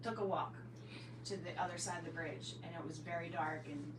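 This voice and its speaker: A woman's voice: on the low side, fairly even intonation, fairly quick, far from the mic. An American woman chatting casually as a paranormal podcast co-host, with a blunt, teasing way of talking. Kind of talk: casual chat. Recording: echoey room, off-mic, noisy hum behind.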